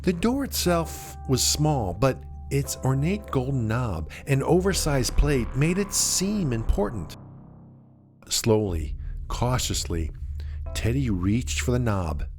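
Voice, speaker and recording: A man narrating a suspenseful story in a dramatic, measured way, with deliberate pauses. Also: medium pitch, animated, a little mellow. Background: music.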